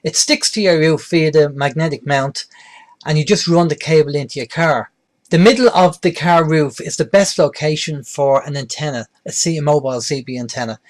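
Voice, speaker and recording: Middle-aged male presenter, slightly high-pitched voice, plain-spoken delivery for an informal hands-on how-to. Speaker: presenter of a beginners' radio tutorial. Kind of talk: informal step-by-step tutorial.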